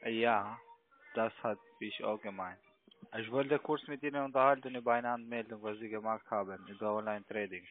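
A man's voice: on the low side, steady tone, moderate pace. A man who is not a native speaker, making a sales call as a call centre agent. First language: not German. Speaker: call centre phone scammer. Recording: tinny narrowband phone line.

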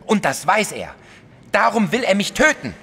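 A touchy jealous artist in an audio drama, defensive, highish voice, really animated. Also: male voice, moderate pace, gravelly, slight background noise, exaggerated voice. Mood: agitated. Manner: vehement, defensive, embittered. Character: indignant, self-righteous male artist, small and jealous. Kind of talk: German audio drama acting.

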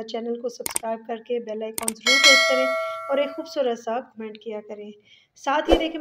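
A woman's voice: on the high side, lo-fi sound. A woman with a Pakistani Urdu accent narrating a home cooking tutorial, chatty and explaining each step with fillers. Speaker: cooking channel host.

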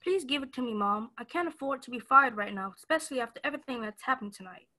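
A woman's voice: on the high side, lively intonation, quick pace, pushed and bright, no room sound, studio quality. A young woman acting in a radio play as a meek, deferential, worried serving girl. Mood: anxious, pleading. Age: young adult.

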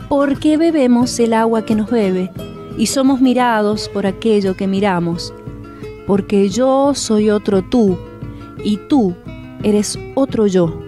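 A woman reading a scripted tribute narration in a soft, measured, poetic way. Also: medium pitch.

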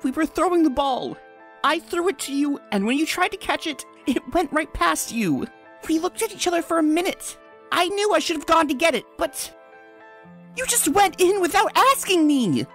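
A woman voicing a gentle, guilt-ridden female unicorn pony in a comic dub, high, youthful and pleading. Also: lively intonation, normal speed, soft background music. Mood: guilty, earnest. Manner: earnest, apologetic. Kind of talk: comic dub character acting.

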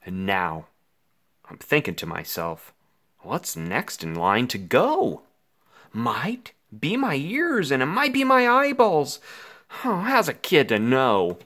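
A man reading a rhyming children's story aloud theatrically, with dramatic pauses, as an anxious, melodramatic five-year-old boy. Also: medium pitch, big pitch swings, fairly bright tone. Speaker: picture book reader.

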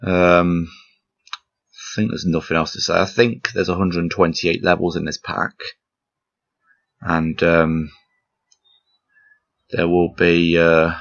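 Deep husky guy rambling over a retro game, croaky voice, kinda muffled recording with game music going. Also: flat delivery, slow pace, long pauses, a bit bright. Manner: rambling, self-deprecating, chuckles often. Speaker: gameplay commentator. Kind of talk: casual gameplay commentary. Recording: slightly dull lo-fi recording with game music behind.

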